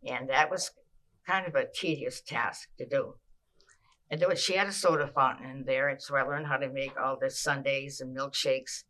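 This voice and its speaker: An older woman from Massachusetts, recalling her childhood in an oral history interview, with a measured pace and frequent pauses. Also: rumbling low, steady tone, a bit bright.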